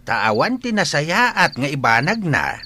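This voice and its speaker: A man's voice: fairly high pitch, very animated, unhurried, bright, forceful tone, full character voice. An actor playing a plain-spoken, fond village father in a radio drama, talking in a folksy, emphatic way. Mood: advising. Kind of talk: Ilocano radio drama acting. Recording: noisy track with clicks and insects.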